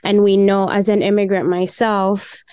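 A woman's voice: medium pitch, flat delivery, moderate pace, warm tone, clean tone, no room sound. A woman interviewer asking long, hesitant questions with frequent restarts. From grew up under a British system before Canada. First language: English. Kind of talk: conversational interview.

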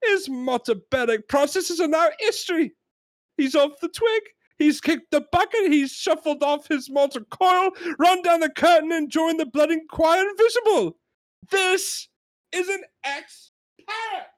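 A woman's voice: fairly low pitch, sing-song, moderate pace, no room sound, clean studio sound, voice pushed up. A woman playing an indignant male customer who complains in emphatic, exasperated rants in a comedy sketch. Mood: exasperated ranting. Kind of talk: British sketch comedy reenactment.

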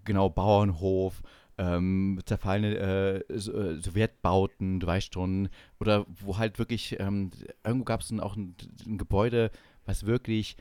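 A man from eastern Germany chatting casually and comically as a podcast host, animated and given to mock-indignant banter. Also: sits low, unhurried, dry recording. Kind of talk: casual comedic chat.

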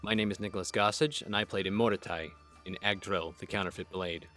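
A man's voice: low voice, fairly even intonation, fairly quick, pushed and bright, soft background music. A man giving a conversational recorded testimonial as a voice actor and writer.

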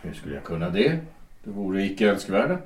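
A man's voice: sits low, very animated, far from the mic. An actor playing a stubborn, defensive older man who has just died and argues about who he is. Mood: grudging. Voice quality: defensive, grudging. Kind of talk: amateur audio drama acting. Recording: echoey room, voice off-mic.

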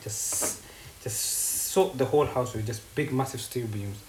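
A man's voice: medium pitch, measured pace, a little mellow. Blunt young man, roomy sound. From Ethiopia. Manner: blunt, teasing, uses street slang. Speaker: podcast co-host. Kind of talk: casual chat. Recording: echoey room with faint noise.

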